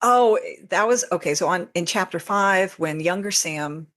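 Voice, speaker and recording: A woman's voice: lowish voice, normal speed, a bit bright. A woman talking casually as an interview guest about her own writing. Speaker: podcast guest, fanfiction author.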